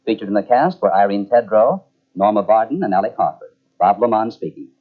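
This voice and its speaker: A man's voice: on the low side, fairly quick, soft background music. A man formally reading the credits as an old-time radio announcer. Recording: muffled old radio broadcast.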